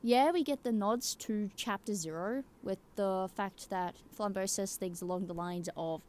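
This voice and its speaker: A woman's voice: mid-range voice, measured pace, a little mellow. A woman giving casual, rambling opinion commentary in an emphatic way, swearing casually and checking in with the listener. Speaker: YouTuber giving game opinions.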